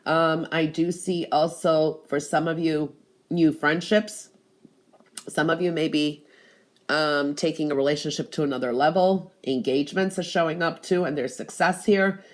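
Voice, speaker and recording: Congested low-voiced woman giving a reading. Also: steady tone, moderate pace. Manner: hesitant, frequent "um" and "I do feel that energy". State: congested from allergies. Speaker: tarot and gemstone reader. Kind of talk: intuitive reading monologue.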